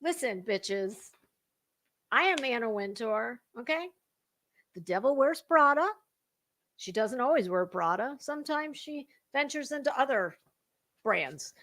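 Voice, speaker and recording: A woman's voice: fairly high pitch, expressive, a little slow, long pauses, a bit bright. A woman playing an imperious fashion magazine boss, haughty, bossy and emphatic. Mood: imperious, demanding.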